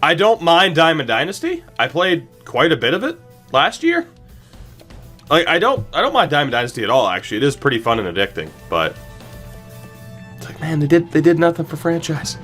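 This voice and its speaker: Bright-voiced man commentating a game livestream. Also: fairly high pitch, a little fast, soft background music. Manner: animated, swearing, talks to chat, repeats phrases for emphasis. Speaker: video game streamer. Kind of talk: livestream gameplay commentary, chatting to viewers.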